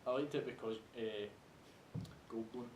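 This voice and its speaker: A man's voice: slow pace, a little mellow. A man chatting casually about films as one of a podcast group. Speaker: podcast panellist. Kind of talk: casual group film chat. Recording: echoey room with low rumbling noise.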